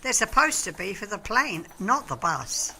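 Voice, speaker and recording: A radio play with faint noise behind it. A woman plays a brash young English working-class shop assistant. She fires off blunt, saucy retorts in a very animated voice. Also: medium pitch, moderate pace, vocal fry, heavily acted. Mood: dry, cutting. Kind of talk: broad radio sitcom acting.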